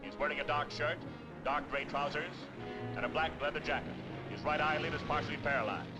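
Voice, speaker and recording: An actor playing a formal American radio newsreader, delivering an official bulletin in 1950s style. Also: male voice, on the high side, steady tone, brisk, lightly acted, poor audio. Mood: formal, urgent. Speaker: news announcer. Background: music.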